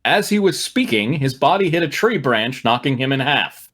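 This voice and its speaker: A man's voice: medium pitch, expressive, moderate pace, fairly bright tone, husky. A man reading fan fiction aloud as host, narrating dramatically with wry, amused asides. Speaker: reader and host.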